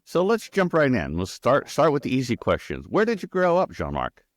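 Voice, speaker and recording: A man's voice: mid-range voice, huge pitch swings, normal speed. A man hosting an interview, asking upbeat questions with occasional stammers. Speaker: interview host. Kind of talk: interviewing a guest.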